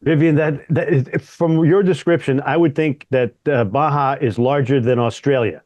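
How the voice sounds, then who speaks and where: A man's voice: mid-range voice, steady tone, normal speed, darkish tone, no echo. Hesitant man moderating a panel. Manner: hesitant, with many fillers.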